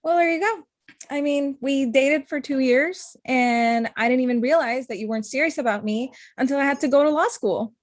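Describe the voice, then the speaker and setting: A woman's voice: high voice, moderate pace, no room sound, clean studio sound, lightly acted. A young woman acting a blunt, hurt, confrontational ex-girlfriend in her thirties in a comedy-drama table read. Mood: hurt, indignant. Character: Amita, blunt, hurt ex-girlfriend, thirty-five, sharp-tongued lawyer.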